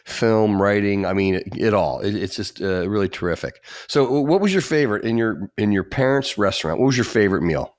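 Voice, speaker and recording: A podcast host with a low voice asks his guest questions and cheers him on with short, encouraging remarks. He keeps his replies short and supportive. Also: expressive, a little fast, hardly any pauses, darkish tone. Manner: encouraging, brief affirmations. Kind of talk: conversational interview.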